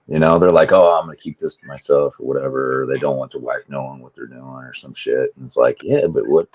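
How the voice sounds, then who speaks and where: Deep husky man in his forties. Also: fairly even intonation, quick pace. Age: 40s. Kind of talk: casual profane group chat. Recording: dull lo-fi microphone sound.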